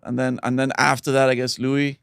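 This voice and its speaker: Gravelly halting man from Belgium. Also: mid-range voice, flat delivery, no room sound, clean studio sound. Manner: halting, with many restarts and fillers. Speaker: stand-up comedian guest. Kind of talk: casual podcast chat.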